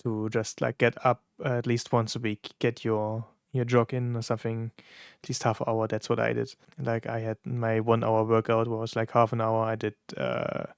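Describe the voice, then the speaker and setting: Casting: German-native man, reaction creator, gravelly tone, halting delivery, dry close sound. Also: medium pitch, completely flat delivery, dry recording. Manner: halting, many fillers and restarts, searching for words. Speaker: content creator reacting to songs. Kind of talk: casual reaction commentary.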